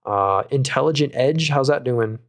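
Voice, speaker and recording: A young man hosting a live stream, reading viewers' comments and talking through stock picks in a casual, upbeat way with lots of fillers. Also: medium pitch. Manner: casual, upbeat, quick asides, frequent fillers and restarts. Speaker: stock market livestream host.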